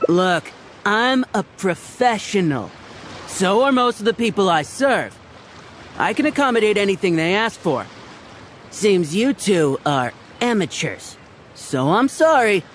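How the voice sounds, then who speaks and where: An actor playing a proud, fussy fish seller in a video game, with an indignant street-hawker patter. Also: male voice, high-pitched, very animated, unhurried, slight background noise. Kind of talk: video game cutscene dialogue. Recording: game audio with background music.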